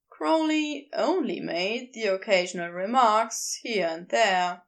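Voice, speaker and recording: A woman reading a story aloud as a narrator, in expressive scripted narration. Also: a little high. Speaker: podfic narrator.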